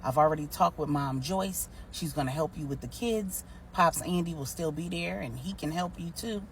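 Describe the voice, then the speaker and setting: A woman's voice: deep voice, slight background noise, voice pushed down. Woman playing a blunt, proud older matriarch. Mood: reassuring. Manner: blunt, folksy, measured.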